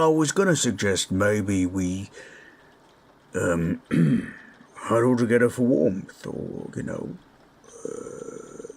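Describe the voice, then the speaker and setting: A low-voiced, husky orc played by a man speaks at an unhurried pace. He is gruff but kindly, a little awkward and shy, with halting, folksy phrasing suited to an intimate fantasy audio drama. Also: full character voice. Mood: bashful, awkward. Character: gruff, kindly, bashful male orc companion.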